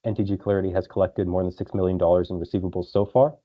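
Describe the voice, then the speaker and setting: Male corporate presenter, very low voice, businesslike financial briefing, muffled lo-fi conference call sound. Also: flat delivery, rapid-fire. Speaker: company executive presenting results. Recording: dull lo-fi conference call audio.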